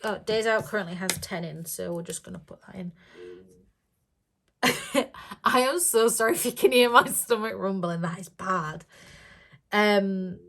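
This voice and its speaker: A woman chatting casually to camera about budgeting, rambling with lots of ums and little giggles, her voice a bit croaky. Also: mid-range voice, unhurried, pauses often, a bit bright. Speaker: budgeting vlogger.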